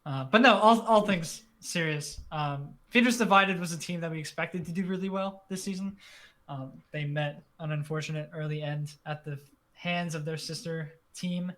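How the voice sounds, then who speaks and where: A man's voice: on the high side, moderate pace, a bit bright. A man co-hosting an esports podcast, easygoing and joking as he explains his favorite players. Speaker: podcast co-host. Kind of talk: casual esports commentary.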